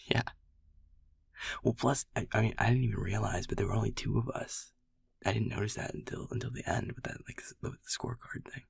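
A man playing a sweet, playful young werewolf boyfriend in an intimate audio roleplay, casual and affectionate, with a husky, breathy, gravelly voice often near a whisper. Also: fairly low pitch, fairly quick, pauses often, no echo, full character voice. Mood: relaxed, fond. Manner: casual, swearing, chuckling, affectionate murmuring.

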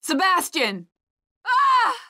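A woman's voice: extremely high pitch, huge pitch swings, drawn-out words, pushed and bright, dry recording, studio quality, heavily acted. An actress playing a tough, determined young woman agent in a survival horror video game, speaking in terse, guarded bursts. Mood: panicked shouting.

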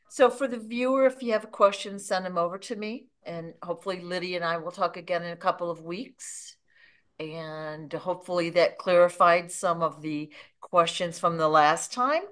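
A middle-aged woman hosting a casual interview over a video call from home. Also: fairly low pitch. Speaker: host. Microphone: computer mic on a video call.

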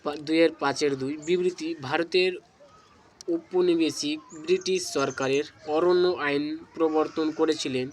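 A man reading out exam questions and answers as a tutor, in deliberate, segmented phrases. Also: a little high, fairly even intonation. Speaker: exam tutor, channel host.

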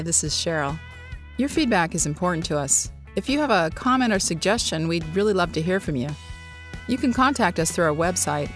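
A woman reading scripted podcast announcements. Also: fairly low pitch. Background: guitar music.

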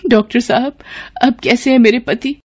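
A woman's voice: on the high side, steady tone, normal speed, a little mellow, breathy edge, voice pushed up. A woman voicing a worried, dutiful young wife and mother in a cartoon story, earnest and respectful. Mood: alarmed.

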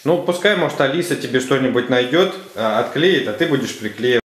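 A man's voice: mid-range voice, expressive, fairly quick. A man, a father chatting casually with children, giving instructions and prompting them with questions. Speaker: father guiding his children through a craft. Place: living room at home. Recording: roomy indoor home recording.

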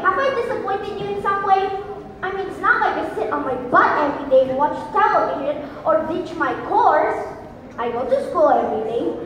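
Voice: very high voice, a bit bright, exaggerated voice. A child of about twelve playing a hardworking teenage son, resentful yet devoted to his mother, in an earnest, theatrical stage monologue. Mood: hurt, indignant. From Indonesia. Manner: earnest, theatrical, emotional delivery. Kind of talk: school monologue competition performance. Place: large indoor auditorium. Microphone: phone camera from far off. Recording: echoey school auditorium, distant phone, noisy.

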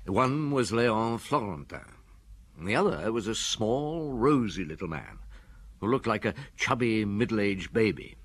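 A man playing a measured, probing but sympathetic police detective in a radio drama, deliberate and understated. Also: lowish voice, sing-song, breathy edge, heavily acted.

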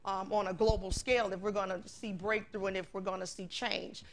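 A woman's voice: medium pitch, flat delivery, brisk. A middle-aged woman hosting a religious talk show, saying "you know" often. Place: indoor studio set, seated. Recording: noisy TV audio with clicks.

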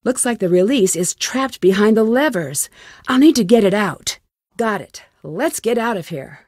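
A woman's voice: medium pitch, a little mellow, no echo, clean studio sound. An American woman playing a curious, plucky young amateur detective in a video game, talking to herself in short, wry remarks. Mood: determined. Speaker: voice actor in a detective adventure game. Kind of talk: video game character lines.

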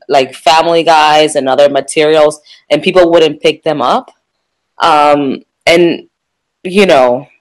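A woman museum curator speaking on a panel over a video call, halting, with many ums and restarts. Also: sits low, poor audio. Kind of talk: unscripted panel discussion.

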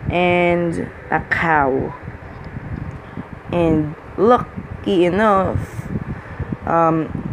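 Filipino-accented male gamer, unhurried, halting delivery, noisy hum behind him. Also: high-pitched. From Philippines. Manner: hesitant, halting, many fillers and restarts. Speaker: gaming channel host. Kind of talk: casual let's-play commentary.

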